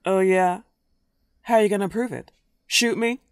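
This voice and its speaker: A woman's voice: mid-range voice, normal speed, clean tone, no room sound, studio quality, close to an everyday voice. A woman playing a love-obsessed werewolf girl in a comedic roleplay audio drama, playful, taunting and cocky. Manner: playful, taunting, swooping emphasis.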